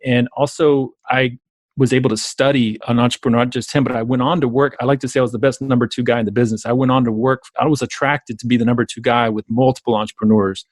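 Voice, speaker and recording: A man with a Southern US drawl talks at a rapid clip, piling up emphatic, repeated points in long run-on stretches. He is a coach and educator speaking as a guest over a remote call, and the sound is close and dry with no room echo. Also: medium pitch, fairly even intonation. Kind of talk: conversational interview.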